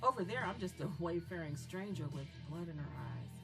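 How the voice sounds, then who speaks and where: A young murderess played by an actress chats and taunts with mocking, unhinged glee. She is girlish yet menacing, as in a horror radio play, with music running clearly behind her. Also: fairly low pitch, lively intonation, fairly quick. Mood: smug, musing. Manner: taunting, chatty, foul-mouthed, swinging between glee and menace. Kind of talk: dramatic monologue in an audio horror drama.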